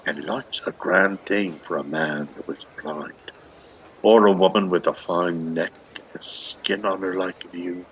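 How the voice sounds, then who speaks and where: A man's voice: low-pitched, fairly even intonation, measured pace, a bit bright, slight background noise, rough recording. An actor playing a lovestruck, shabby old Irish beggar man in a radio play, speaking in long, poetic, pleading runs. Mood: wistful, wooing. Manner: poetic, long rolling pleas. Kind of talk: lyrical stage radio-play acting.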